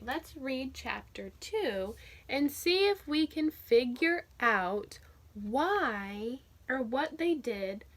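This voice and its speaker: High, slow-paced woman teacher reading aloud. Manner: slow, clear, sounds out letters and asks listeners questions. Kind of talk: children's read-aloud phonics lesson.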